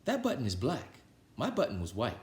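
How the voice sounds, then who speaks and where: A man puts on a grumpy, exasperated toad for a children's storybook. He exclaims and wails his complaints in a very animated voice that jumps up and down in pitch, with audible room echo. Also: a little fast. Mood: complaining.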